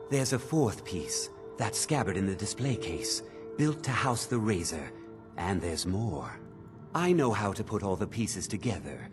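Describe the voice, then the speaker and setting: Zealous low-voiced relic collector, swooping intonation. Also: male voice. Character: obsessed zealous male collector of cult relics. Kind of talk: fantasy video game acting. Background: loud game music.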